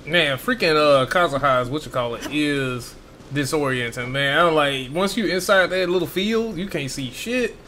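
A man's voice: fairly high pitch, normal speed, pushed and bright, a little hiss or hum. Slangy, grumbling man streaming a game. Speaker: streamer playing a video game. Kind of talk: casual gameplay commentary. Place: indoor room. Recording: game music and effects behind.

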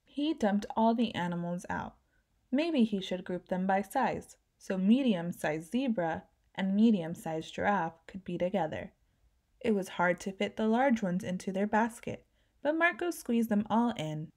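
Female storyteller for a kids' read-aloud; gentle narration, lively character voices, mellow tone. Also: mid-range voice, measured pace. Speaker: children's book reader.

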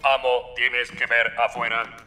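Casting: servant robot played by a man, Latin American Spanish cartoon, flat delivery, echoing, dutiful and anxious. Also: mid-range voice, a little slow, over music, lightly acted. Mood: worried. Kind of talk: children's cartoon dubbing.

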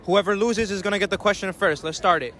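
A man's voice: sits high, fast talker, sharp tone. An eighteen-year-old man vlogging casually outdoors in a busy city square at night, brash and swearing a lot. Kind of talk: casual street vlog.

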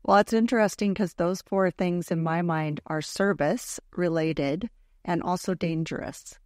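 A woman's voice: fairly low pitch, a little slow, darkish tone, no room sound. A middle-aged woman hosting a podcast in a studio, chatting casually, affirming her guest and steering with questions. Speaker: podcast host. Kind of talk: casual interview chat. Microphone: headphones and studio microphone.

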